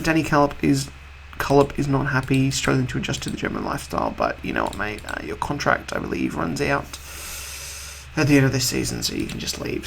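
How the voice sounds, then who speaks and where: A man hosting a video game let's-play in a casual, rambling way, slightly husky from a cold. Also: mid-range voice, slight background noise. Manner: rambling, offhand, chatty.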